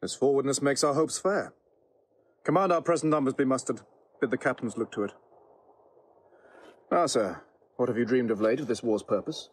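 An actor playing a noble Roman general, commanding yet fatherly, in a Shakespearean audio drama, with measured, authoritative verse delivery. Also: mid-range voice, slight background noise, lightly acted.

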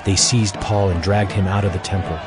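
A man narrating a dramatized audio Bible as measured, scripted storytelling. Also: low-pitched, level tone, a loud crowd.

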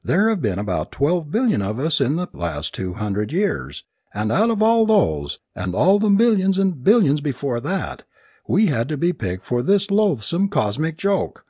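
A man's voice: a little high, wildly swooping pitch, unhurried, dark tone, husky, no echo, studio quality, pitched above the performer's own voice, heavily acted. A man voicing a distraught, bitterly sarcastic woman who declaims theatrically in an audiobook story. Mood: anguished, raving.

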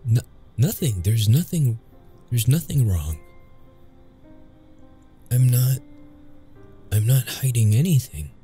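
A man's voice: mid-range voice, lively intonation, warm tone, soft background music. A man playing a young wounded vampire, secretive and brooding, in an intimate, hesitant audio roleplay style. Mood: nervous, pleading. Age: young adult. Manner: halting, hesitant, stammering when flustered.

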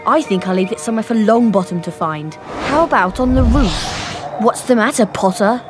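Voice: mid-range voice, normal speed, over music, lightly acted. An actor playing an arrogant British schoolboy wizard in a video game, taunting and haughty. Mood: mocking. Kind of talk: video game cutscene acting.

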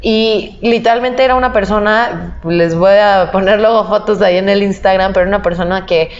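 A woman's voice: mid-range voice, steady tone, brisk, lo-fi sound. A young woman with a Mexican accent chatting casually on a podcast, telling stories in a candid, slangy way. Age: young adult. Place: indoor podcast set at a table. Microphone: lapel mic.

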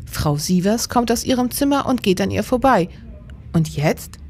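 A woman's voice: mid-range voice, animated, moderate pace, a little mellow, slight background noise, lightly acted. A woman acting in a crime radio play as an attentive, supportive police investigator. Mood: alert, questioning. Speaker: radio drama actor.

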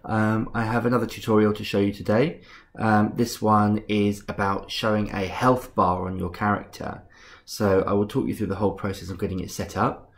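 A young man with a cold, sounding husky and rough and a bit bunged up, calmly talking through a game-making tutorial step by step with frequent ums. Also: on the low side, moderate pace. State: congested from a cold. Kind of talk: screen-recorded software tutorial.

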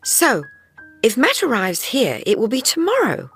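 A male narrator raises his voice into a nervous, quick-witted teenage girl, lifted well above his own pitch and moving lively up and down. Soft music plays underneath. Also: slightly rough, full character voice. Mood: relieved. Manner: nervous, careful.

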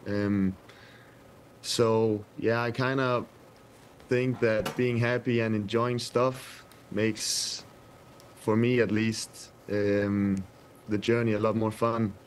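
A young man in his twenties with a Danish accent, answering interview questions over a video call from a press room, hesitant and soft-spoken with many pauses. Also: fairly low pitch, little pitch movement, slight background noise. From Denmark. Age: 20s. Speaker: footballer guest. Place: indoor press room with sponsor backdrop. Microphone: webcam video call.

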